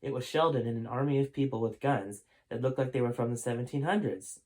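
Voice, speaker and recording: A man's voice: mid-range voice, fast talker, a little mellow. A man reading a story aloud as its host, in an informal storytime narration style. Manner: informal, stops to correct own character voices. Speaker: author reading own book aloud, host. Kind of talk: storytime audiobook narration with character voices.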